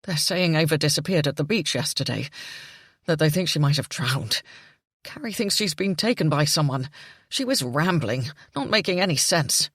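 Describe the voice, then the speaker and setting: Woman voicing a desperate father, pitched down, urgent and rough-edged, close dry read. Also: animated, moderate pace, fairly bright tone, no room sound, heavily acted. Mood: shaken. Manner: urgent, shaky, pleading. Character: frantic grown man, a panicked father.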